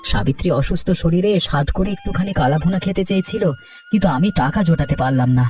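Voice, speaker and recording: A man's voice: fairly high pitch, fast, a little mellow, distant mic, faint music behind, lightly acted. A man voicing a worried, poor village rickshaw puller with a plaintive manner in a cartoon. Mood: worried, downcast. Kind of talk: Bengali cartoon dialogue.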